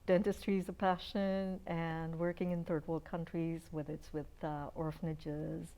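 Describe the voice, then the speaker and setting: Older woman guest, low and mellow. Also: little pitch movement, moderate pace, poor audio. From Uganda. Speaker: guest, dentist. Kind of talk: casual interview talk.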